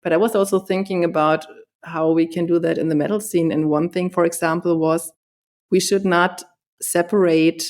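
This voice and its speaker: A woman from a German-speaking country co-hosting a podcast, speaking in a measured, thoughtful way with light laughs. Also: on the low side, fairly even intonation, darkish tone. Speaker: podcast co-host. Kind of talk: casual conversation.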